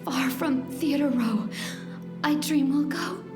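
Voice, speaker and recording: A woman plays a plucky, devoted sweetheart in a melodramatic radio parody. Her voice is fairly high and her delivery theatrical and sentimental. It has a roomy echo with music clearly behind her. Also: slow. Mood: weak, wistful.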